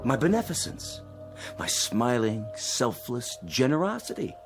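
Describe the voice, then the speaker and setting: A man's voice: medium pitch, big pitch swings, a little slow, faint music behind. An actor playing a cynical spaceship crewman with delusions of godhood, gloating and grandiose in a radio drama. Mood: smug, gloating. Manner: gloating, grandiose, mockingly theatrical.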